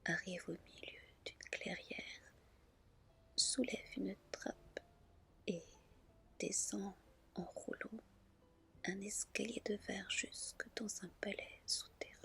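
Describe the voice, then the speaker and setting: Woman, whispered ASMR storytelling, gentle, unhurried, slightly creaky. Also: medium pitch, fairly even intonation. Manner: gentle, soft, deliberate. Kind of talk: whispered ASMR fairy tale reading.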